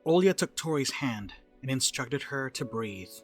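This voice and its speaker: Male narrator, scripted storytelling, animated intonation, medium pitch, light music behind. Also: a little slow. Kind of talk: scripted narration of a dramatic reading.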